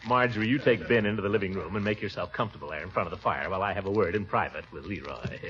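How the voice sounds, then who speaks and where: An actor playing a blustery, pompous middle-aged uncle, booming and hearty with chuckling laughs, in 1940s radio comedy. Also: male voice, rapid-fire, fairly bright tone. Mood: bossy. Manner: hearty and bossy, stretches out his words. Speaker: head of the household. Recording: dull 1940s radio broadcast.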